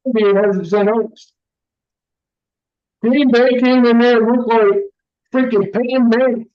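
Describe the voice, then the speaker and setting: A man co-hosting a casual sports podcast, brash and excitable, swearing freely, heard over muffled remote audio with some echo. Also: very high-pitched, normal speed. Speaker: podcast co-host.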